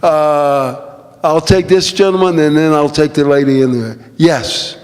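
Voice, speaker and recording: A man slowly hosts a question session, stumbling and restarting as he passes along questions. His voice sits a little high and echoes through a hall. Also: a little mellow. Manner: halting, restarts while relaying questions. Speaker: moderator. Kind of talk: hosting a Q&A. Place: auditorium with audience. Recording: reverberant hall.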